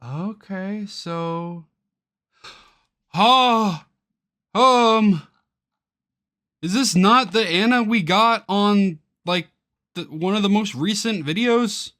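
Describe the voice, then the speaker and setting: A man giving casual reaction commentary as he reads aloud from a web page, excitable and quick to exclaim in disbelief. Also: high-pitched. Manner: excitable, easily incredulous, exclaiming. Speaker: YouTuber reacting to a game's crowdfunding page.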